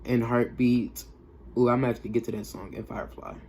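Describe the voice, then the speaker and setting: A man's voice: mid-range voice, fairly even intonation, a little fast, darkish tone, slight background noise. Young man reviewing music, slangy and emphatic. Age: young adult. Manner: slangy, emphatic, repeats himself. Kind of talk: casual off-the-cuff album review.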